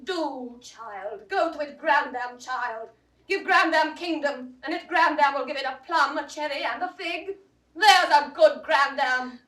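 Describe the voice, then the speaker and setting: Shrill, bitterly scornful mother. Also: measured pace, a bit bright. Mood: mocking. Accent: British English, old theatrical received pronunciation. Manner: biting, mocking, impassioned declamation. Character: bitter, fiercely protective mother, scornful and accusing. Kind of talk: mid-century classical Shakespearean stage acting.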